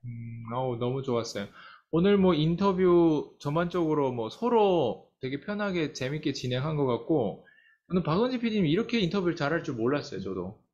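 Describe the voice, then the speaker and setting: A man's voice: fairly high pitch, sing-song, fairly quick, darkish tone, muffled recording. A man interviewing a guest, asking long, winding questions with hesitations and frequent murmured acknowledgments. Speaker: interviewer, coaching company head. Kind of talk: casual interview hosting.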